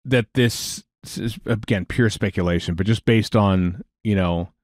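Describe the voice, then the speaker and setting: A man with a somewhat low voice, co-hosting a chatty podcast. He rambles and halts, circling back with fillers. His voice is dry and close, with no room sound. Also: unhurried, a little mellow, no echo. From United States. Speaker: podcast co-host. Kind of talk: casual chat.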